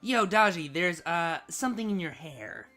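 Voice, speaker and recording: A woman playing a teasing young housemate in a dramatized cartoon fan story, with a playful, casual, expressive delivery. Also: fairly low pitch, moderate pace. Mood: deadpan. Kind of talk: dramatized fan fiction audio reading. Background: background music.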